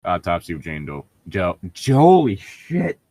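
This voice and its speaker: Man, lowish voice, dry recording, casual, profane, incredulous, joking commentary. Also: huge pitch swings, unhurried, dark tone, no echo. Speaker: host of a fanfiction reading show. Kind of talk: casual dramatic reading with mocking commentary.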